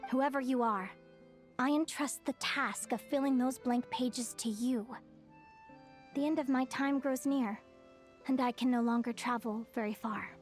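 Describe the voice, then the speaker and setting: A woman voicing an aging naturalist in a video game, calmly reflecting on her life's work. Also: sits high, measured pace. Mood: reflective. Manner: calm, reflective, storytelling. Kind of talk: game narration. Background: game music.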